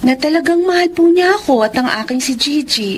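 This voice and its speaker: An actress plays an earnest young Filipina woman in a radio drama, wounded but resolute. Her voice is fairly high and respectful. Faint background noise sits behind her. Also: lively intonation, a little fast. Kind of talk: radio drama acting and first-person narration.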